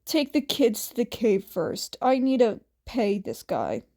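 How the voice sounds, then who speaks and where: A woman's voice: on the high side, sing-song, measured pace, darkish tone, exaggerated voice. A woman voicing a blunt, weary young man, a dry big brother in a fan fiction story. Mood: businesslike.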